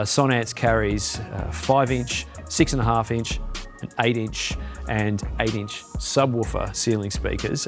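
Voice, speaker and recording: An Australian man, a business development manager, giving a conversational product walkthrough with lots of filler words and restarts. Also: mid-range voice. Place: demo facility.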